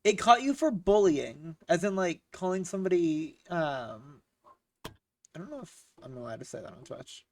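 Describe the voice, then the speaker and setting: Sniffly streamer getting over a cold, casual profane banter, laughs a lot, mid-pitched, set back from the mic. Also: lively intonation, normal speed, darkish tone, distant mic. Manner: profane, joking, laughs often, reads chat aloud. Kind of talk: casual live game-stream chat with viewers.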